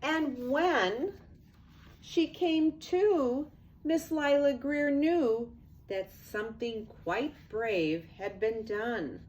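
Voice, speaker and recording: Slow-paced older woman reading a storybook. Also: high voice. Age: 60s or older. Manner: expressive, unhurried storytelling to young children. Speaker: grandmother reading a picture book to children. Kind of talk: storybook read-aloud with casual asides.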